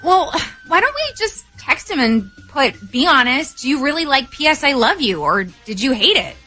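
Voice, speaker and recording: Bright, animated woman co-hosting radio. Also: high-pitched, moderate pace, faint music behind. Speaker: radio show co-host. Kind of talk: casual radio chat.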